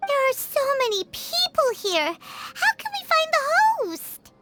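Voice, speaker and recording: A woman voicing a tiny, childlike companion creature, excitable and curious, in a video game. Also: very high-pitched, unhurried, music in the background, exaggerated voice. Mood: puzzled.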